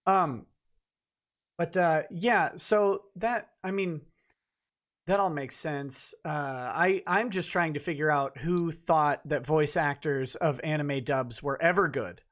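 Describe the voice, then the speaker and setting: A man's voice: on the high side, slow pace, a bit bright. A man hosting a casual livestream chat, with dry, wry asides. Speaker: host.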